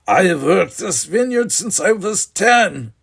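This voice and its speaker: Guy playing a bitter big brother, shrill and sarcastic, pitched up, storybook voice. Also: big pitch swings, a little slow, gravelly, a bit bright, slightly rough, heavily acted. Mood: angry. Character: bitter resentful older brother, young man. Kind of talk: audiobook character voice.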